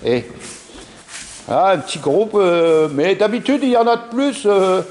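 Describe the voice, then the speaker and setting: A man behind the camera casually interviewing judo club members, lively and prompting, often tagging questions onto what he says. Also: high-pitched, measured pace. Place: large indoor judo dojo. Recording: echoey hall, faint noise.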